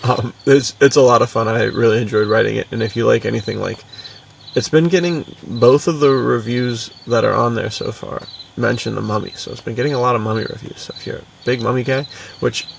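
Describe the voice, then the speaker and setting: A man's voice: medium pitch, fairly even intonation, fairly quick, creaky, distant mic, slight background noise. An American man co-hosting a podcast in casual, informal chat, using plenty of laid-back slang. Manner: informal, bro-ish, frequent "dude" and "like". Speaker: podcast co-host and adventure fiction author.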